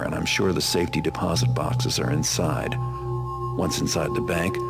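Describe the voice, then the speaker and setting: Cynical thief, game briefing narration, very deep pitch, deadpan, understated, music underneath. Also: male voice, full character voice. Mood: wry, plotting. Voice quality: low gravelly voice. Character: cynical, sardonic male master thief. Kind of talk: scripted narration, video game mission briefing. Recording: voice over a game music bed.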